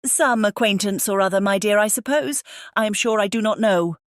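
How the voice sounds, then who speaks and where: A woman's voice: medium pitch, normal speed, dry recording, studio quality, lightly acted. A woman voicing a fussy, opinionated middle-aged mother in a dramatized audiobook, emphatic and huffy. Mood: dismissive. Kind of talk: dramatized audiobook character acting.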